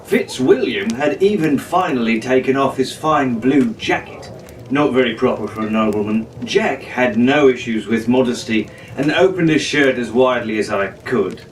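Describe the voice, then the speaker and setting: A man's voice: medium pitch, normal speed. A man narrating a pirate adventure story in a theatrical, in-character way, as a storybook reader. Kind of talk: scripted dramatic narration reading a children's pirate novel. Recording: roomy, distant mic with faint noise.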